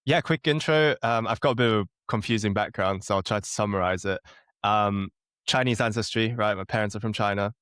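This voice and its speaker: Low-voiced, relaxed young man from Germany. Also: fairly quick, smooth, no echo, clean studio sound. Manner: relaxed, self-deprecating, chuckling now and then. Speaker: podcast guest. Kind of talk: casual interview chat.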